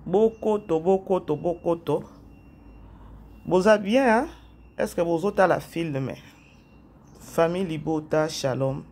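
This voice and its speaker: A man's voice: a little high, slow pace, pauses often, a little mellow. A man who has a head cold, hosting a chatty commentary vlog, repeating phrases and talking straight to his viewers. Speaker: YouTube channel host. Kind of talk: casual commentary vlog, mixing French and Lingala.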